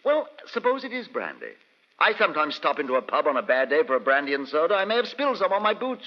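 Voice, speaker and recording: Blustering doctor, animated, reverberant. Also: male voice, a little high, fast. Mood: nervous bluster. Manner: hesitant, blustering, indignant. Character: blustering, evasive male doctor, guilty villain. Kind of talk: old-time radio drama acting. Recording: dull old radio recording, long reverb.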